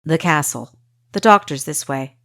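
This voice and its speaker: A woman's voice: low-pitched, unhurried, fairly bright tone. A woman voicing a matter-of-fact male spy guide in an audiobook. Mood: brisk.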